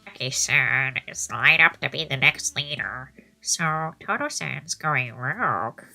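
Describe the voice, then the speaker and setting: A brash young gang underling from a visual novel, acted out by a woman. The delivery is low and very forceful, swinging through casual, punchy lines with some concern underneath. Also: expressive, a little slow, vocal fry, faint music behind, heavily acted. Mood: matter-of-fact.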